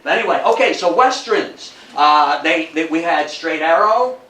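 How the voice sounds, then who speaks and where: An older man with an American accent gives an informal talk in a folksy, emphatic storytelling manner. His voice sits a little high for a man. It is picked up from a distance in a roomy space with faint noise. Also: expressive, normal speed, sharp tone. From United States. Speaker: guest lecturer on old-time radio history. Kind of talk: informal public lecture with anecdotes. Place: indoor talk to a live audience at a historical society.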